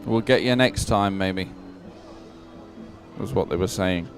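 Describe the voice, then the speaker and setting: A man's voice: deep voice, unhurried, over music. A man giving conversational live commentary on a sporting event as a co-commentator.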